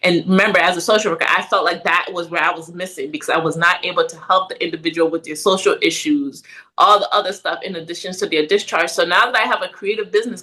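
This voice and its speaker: Middle-aged woman from Ghana, podcast guest, animated and conversational, with a bright tone. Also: on the low side, rough recording. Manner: animated, frequent "right?" tag questions. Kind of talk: casual interview chat.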